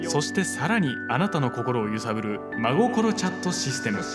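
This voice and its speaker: A man's voice: medium pitch, big pitch swings, normal speed. A man reading scripted, dramatic narration for a game trailer. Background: music.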